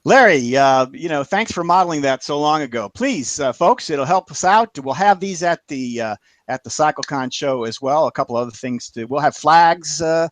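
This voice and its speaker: A man hosting a web show, wrapping up with halting, filler-heavy announcements and friendly thanks to panelists and viewers. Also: on the high side, expressive, dry recording. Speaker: show host.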